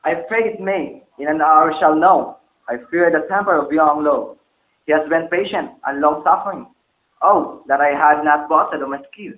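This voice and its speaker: A man's voice: a little high, moderate pace, slight background noise, natural voice. A man playing a stern, anxious bell-maker father in a formal, declamatory stage drama. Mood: worried. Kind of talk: amateur stage-play dialogue, formal archaic English. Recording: muffled, phone-like, echoey room.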